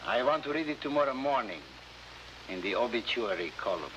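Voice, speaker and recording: An actor playing a smug, sinister South American newspaper boss, coolly sardonic, in a 1950s crime drama. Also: male voice, mid-range voice, expressive, a little fast, lightly acted. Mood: smug, threatening. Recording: dull, noisy old 1950s drama soundtrack.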